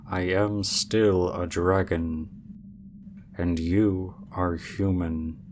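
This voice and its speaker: Guy as aloof dragon man, deep voice, takes his time, stiff formal talk, asmr roleplay. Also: darkish tone, a little hiss or hum. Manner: formal, measured, cool and reserved. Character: proud, aloof male dragon in human form.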